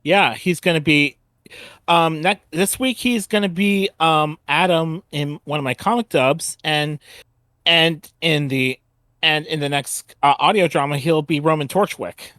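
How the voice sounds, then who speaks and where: Halting man hosting a casual panel, close dry sound. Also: fairly high pitch, sharp tone, no room sound. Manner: halting, repeats and restarts phrases. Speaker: panel host. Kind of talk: casual unscripted fan panel chat.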